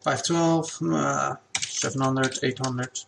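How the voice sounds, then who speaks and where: A man with Dutch as his first language walks through a software tutorial at his desk. His mid-pitched voice has a gravelly edge, and he explains each step in a relaxed, plain way, with keys and clicks heard behind him. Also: slow pace, noisy background. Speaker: game asset creator.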